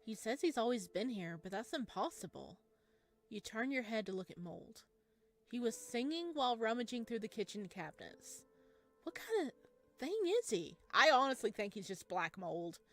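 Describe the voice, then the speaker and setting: A giggly, excitable woman reading a visual novel aloud with playful, joking commentary during a let's play. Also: a little high, normal speed, soft background music. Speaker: gaming content creator playing a visual novel.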